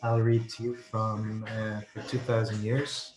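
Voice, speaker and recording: Guy translator talking slow n thoughtful, lots of restarts, echoey room sound. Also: lowish voice, monotone, over loud music. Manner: thoughtful, halting, repeats words while searching. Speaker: translator and writer. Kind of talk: seminar interview answers.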